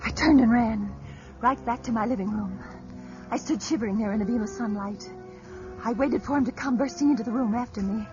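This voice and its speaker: A muffled old radio recording with loud music carries a high-pitched woman played by an actress. She is a brittle, frantic soul tormented by noise, speaking with precise, dramatic tension. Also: brisk, close to an everyday voice. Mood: rising dread. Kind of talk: 1940s radio drama acting, first-person narration.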